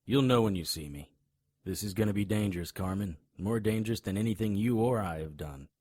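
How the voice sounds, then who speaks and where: Man playing a husky, low-voiced hard-boiled monster hunter. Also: brisk, darkish tone, no echo, clean studio sound, natural voice. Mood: grave, urgent. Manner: tough, wisecracking. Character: hard-boiled male monster hunter, grim, cocky, threatening. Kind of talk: audio drama acting with noir first-person narration.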